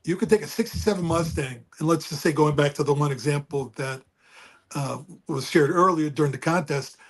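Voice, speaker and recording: A man with a booming voice chatting casually and rambling about engines over a remote call. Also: brisk. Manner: rambling, folksy, restarts often. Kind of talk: casual car chat. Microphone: remote call with speaker feedback.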